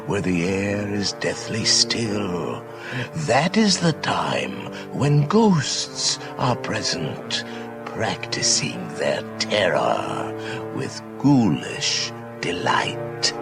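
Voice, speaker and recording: An actor playing a creepy, ghoulish ghost host, reciting in a theatrical, savoring, macabre manner. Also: male voice, low-pitched, sing-song, very slow, creaky, over music, full character voice. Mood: ghoulish relish.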